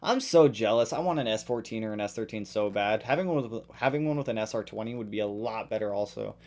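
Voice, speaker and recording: A man hosting a casual live stream, reading out viewer questions and answering them in a chatty, relaxed way, his voice tiring after a long session. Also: fast talker. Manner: greets viewers loudly with sudden jumps in pitch.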